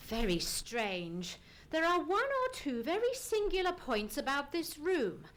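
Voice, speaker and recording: A woman playing a keen, methodical male detective in an old-time radio play, musing and deliberate, humming as she ponders. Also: high voice, very animated, fairly bright tone, full character voice. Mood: musing, intrigued. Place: live theatre stage performance.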